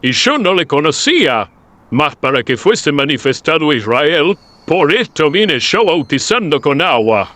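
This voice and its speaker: A man's voice: fairly high pitch, sing-song, measured pace, bright, forceful tone, no room sound, heavily acted. A man playing an earnest, solemn desert prophet in a Bible radio play, proclaiming with emphasis. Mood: fervent testimony.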